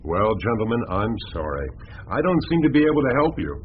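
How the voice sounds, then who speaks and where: An actor playing a smooth, unruffled businessman in a radio drama, speaking formally and measuredly with pointed questions. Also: mid-range voice, very animated, brisk, natural voice. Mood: polite. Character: smooth, unruffled businessman, challenging his accusers. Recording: muffled phone-like band, faint noise.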